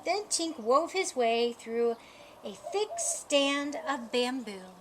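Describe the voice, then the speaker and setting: A woman reading a children's picture book aloud as a storyteller, with an expressive, deliberate storytime delivery. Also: high-pitched, noisy background. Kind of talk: scripted children's story narration.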